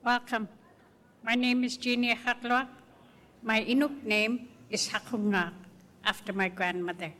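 An older woman from the Canadian Arctic gives personal testimony to an audience. She talks slowly and deliberately in a bright, forceful voice that carries through long reverb. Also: a little high, fairly even intonation, a little hiss or hum. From Arctic northern Canada. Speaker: guest speaker, residential school survivor. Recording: long reverb of public-address sound.

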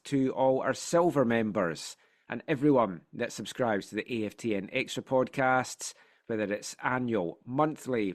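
A Scottish man hosting a football podcast chat, his pitch swinging up and down as he tells a story. He sounds a bit congested from a sinus infection. He talks in a chatty, playful way, full of asides. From Scotland. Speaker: podcast host.

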